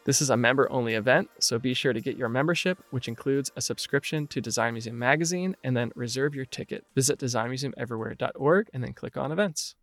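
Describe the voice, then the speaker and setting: Gravelly-voiced upbeat podcast host. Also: male voice, mid-range voice, fast, few pauses, a bit bright, faint music behind. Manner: upbeat, reads announcements then chats loosely. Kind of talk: scripted podcast intro then casual interview.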